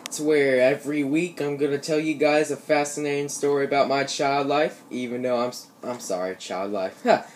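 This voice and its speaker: A man's voice: medium pitch, steady tone, moderate pace, hardly any pauses. Young American guy storytelling over noisy gameplay. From Ohio, United States. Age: teenager or young adult. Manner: slangy, frequent swearing. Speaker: gaming YouTuber. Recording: noisy home recording, gameplay sounds behind. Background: shooter game sounds.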